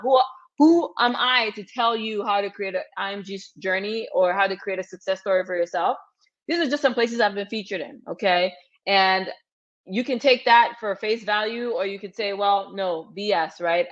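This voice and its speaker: A woman from Cameroon, a physician in her thirties, hosting an energetic webinar and coaching her audience directly, often checking in with "okay?". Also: medium pitch. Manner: upbeat, direct, repeats cues like "okay?" to the audience. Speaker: physician hosting a webinar for international medical graduates. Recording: slightly dull lo-fi webinar audio.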